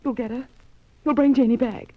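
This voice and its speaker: A woman's voice: fairly high pitch, steady tone, moderate pace, natural voice. An actress playing an anxious, insistent mother in 1940s radio style, with an earnest, urgent, dramatic delivery. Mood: urgent, pleading. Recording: dull vintage radio recording.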